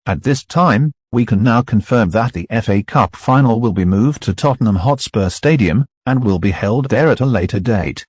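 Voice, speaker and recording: A man reading formal, scripted broadcast announcements in a steady tone. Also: lowish voice, normal speed, hardly any pauses, husky, no room sound, clean studio sound.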